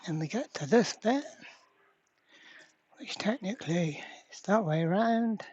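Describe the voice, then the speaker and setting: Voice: mid-range voice, animated, measured pace, darkish tone, slightly rough. A presenter giving casual hands-on workbench commentary, thinking aloud in a hesitant way. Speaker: repair video presenter. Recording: dull, muffled lo-fi sound.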